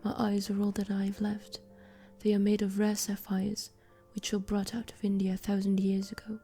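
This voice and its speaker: A woman voicing a kind, sorrowful male statue prince in a soft whisper. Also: medium pitch, monotone, normal speed, soft background music, lightly acted.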